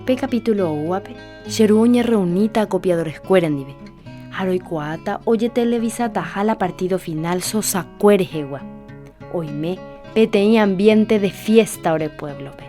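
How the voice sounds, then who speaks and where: Woman voicing a young daughter, proud and quick-talking, faint guitar behind, radio play. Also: mid-range voice, dark tone, close to an everyday voice. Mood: lively. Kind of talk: radio drama acting and narration.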